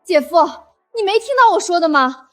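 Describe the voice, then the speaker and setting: An actress playing an outspoken, practical young sister-in-law from the city, with an expressive, persuasive manner. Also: female voice, extremely high pitch, brisk, no echo, soft background music. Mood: eager, persuading. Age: young adult. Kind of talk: scripted drama acting.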